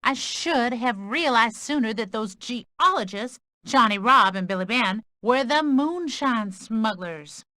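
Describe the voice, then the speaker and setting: A woman's voice: fairly high pitch, expressive, slow pace, few pauses, bright, forceful tone, no room sound, studio quality, heavily acted. A woman playing a spirited, earnest young country woman with an American Southern accent. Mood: regretful, recounting.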